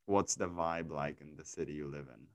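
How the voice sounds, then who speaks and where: A man's voice: extremely low pitch, moderate pace, no room sound, lo-fi sound. A man hosting a casual chat, asking curious questions with frequent stammered restarts. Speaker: host.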